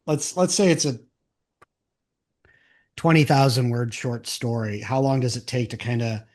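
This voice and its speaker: A man's voice: mid-range voice, a little slow, a little mellow. A man hosting an interview podcast in a casual chat style, thinking aloud in loose, rambling sentences.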